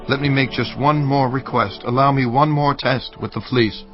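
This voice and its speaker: Man acting humble petitioner, earnest, hesitant, mid-range male voice, audio Bible drama, soft music behind. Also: mid-range voice, steady tone, normal speed, natural voice. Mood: humble pleading. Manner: earnest, pleading. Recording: muffled audio under music.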